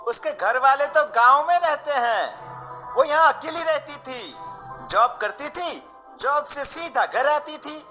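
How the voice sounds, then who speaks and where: Man doing a very high-pitched brash landlord. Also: takes it slowly, bright, forceful tone, soft background music, exaggerated voice. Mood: curt, dismissive. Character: brash hard-drinking male landlord, carefree. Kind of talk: cartoon story acting.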